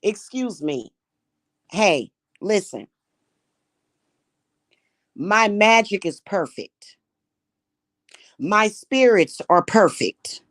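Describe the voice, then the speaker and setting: A woman's voice: mid-range voice, animated, very slow, pauses often, dry recording, clean studio sound. A woman from Missouri with a Missouri accent, talking to her viewers in a blunt, scornful, mocking monologue. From Kansas City, Missouri. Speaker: spiritual practitioner and channel host.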